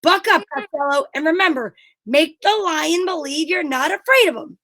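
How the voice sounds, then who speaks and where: A very high-pitched comedy straight man played by a woman. He is bossy and goading, with theatrical old-time radio delivery. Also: lively intonation, moderate pace, bright, forceful tone, dry recording, exaggerated voice.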